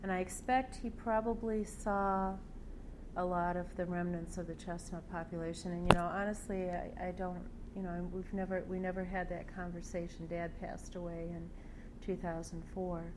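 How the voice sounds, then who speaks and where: An older woman with a soft voice, reflecting on family memories in an interview, speaking haltingly with many fillers and restarts. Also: fairly low pitch, flat delivery. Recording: clear background noise.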